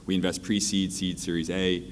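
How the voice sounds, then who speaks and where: A man gives a quick, upbeat pitch for his fund as a panelist. His voice is very deep, and a faint noise sits under it. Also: flat delivery. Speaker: venture fund partner, panelist. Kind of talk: conference panel introduction.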